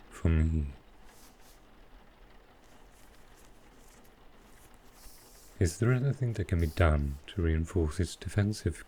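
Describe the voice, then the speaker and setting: A man playing a smooth, flirtatious supervillain in an intimate ASMR roleplay, speaking softly and unhurriedly in short, halting phrases. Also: deep voice, slight background noise. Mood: calm, considerate. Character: smooth, flirtatious male supervillain courting his tailor. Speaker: voice actor in audio roleplay.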